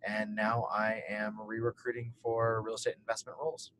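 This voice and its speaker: A man's voice: fairly low pitch, completely flat delivery, normal speed, fairly bright tone, a little hiss or hum, low-quality recording. Upbeat young man from Kentucky. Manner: upbeat, storytelling, laughs often. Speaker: MBA student panelist. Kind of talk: casual webinar chat.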